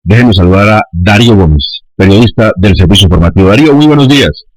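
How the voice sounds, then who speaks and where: A man's voice: lowish voice, animated, fast talker, no echo, low-quality recording. A man with a Colombian accent hosting a live radio interview in a courteous, playful manner. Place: dry radio studio.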